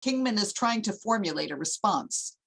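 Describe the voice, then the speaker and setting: A woman's voice: mid-range voice, expressive, normal speed, vocal fry, a bit bright. A woman narrator reading screenplay stage directions in a scripted table read.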